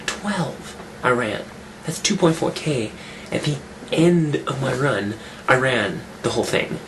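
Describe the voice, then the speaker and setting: A man talking casually in a vlog, rambling and restarting his sentences, his voice rough from a cold. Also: medium pitch, expressive, measured pace, noisy background. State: getting over a cold, rough voice. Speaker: fitness vlogger. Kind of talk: casual weekly update vlog.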